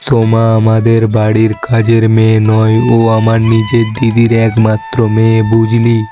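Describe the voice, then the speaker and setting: A man's voice: lowish voice, monotone, moderate pace, music in the background, lightly acted. A man voicing a stern but caring animal father in a cartoon, with a firm, scolding manner. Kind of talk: cartoon voice acting.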